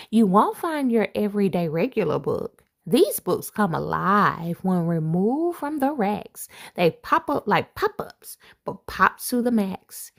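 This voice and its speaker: A woman reads a rhyming picture book aloud to children, taking her time and letting her voice swoop up and down with delight. Her voice sits fairly high and carries a gravelly edge of fry. She breaks off now and then for playful asides to her young listeners. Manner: expressive storybook reading with playful asides. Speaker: assistant principal reading aloud.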